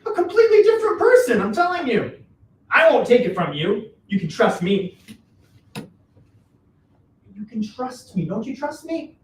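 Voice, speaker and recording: Seeking an actor for a scheming, wheedling old dad for a stage reading; animated, repeats himself, distant from the mic. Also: mid-range voice, normal speed, pauses often, close to an everyday voice. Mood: pleading. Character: Joe, cajoling, exasperated older father, scheming scavenger. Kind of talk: dramatic play reading. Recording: roomy, distant mic, faint noise.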